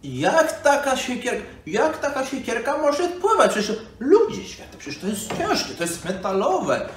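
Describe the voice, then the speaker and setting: Man acting an excitable old storyteller, high and swooping. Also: recorded from a distance, full character voice. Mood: amazed. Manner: exclamatory, halting, repeats himself. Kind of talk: acted storytelling for children. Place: living room by a fireplace. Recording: roomy, off-mic, music behind.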